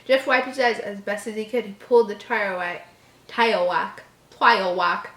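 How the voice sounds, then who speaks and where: A woman's voice: medium pitch, fairly even intonation, moderate pace, fairly bright tone. Echoey woman reading horror with exasperated asides. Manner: stumbles over words, breaks off into mocking, exasperated asides. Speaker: host reading a story aloud. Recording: echoey room.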